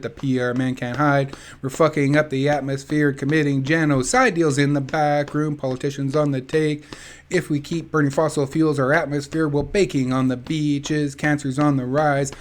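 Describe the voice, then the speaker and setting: A man reading a book aloud in an informal, chatty way with casual asides. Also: fairly high pitch. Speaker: reader of an activist book.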